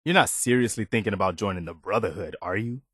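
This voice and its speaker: An actor playing an earnest, loyal and idealistic militia officer in a video game, measured and plain-spoken. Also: male voice, on the low side, a bit bright, slightly rough, no echo, studio quality. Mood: indignant, challenging. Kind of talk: video game character dialogue.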